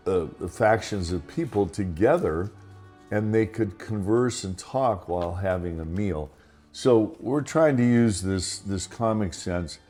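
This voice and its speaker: Older la guy with a real low voice, rambling host monologue, bit of music under it. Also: mellow. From Los Angeles, United States. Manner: rambling, with restarts and asides. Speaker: podcast host. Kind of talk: casual monologue.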